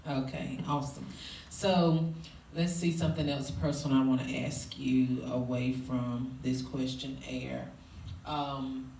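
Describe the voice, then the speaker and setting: A man interviewing a guest, briskly reading questions from a list. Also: medium pitch, creaky, a little mellow, slight background noise. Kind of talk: casual Q&A interview. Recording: echoey room, far from mic.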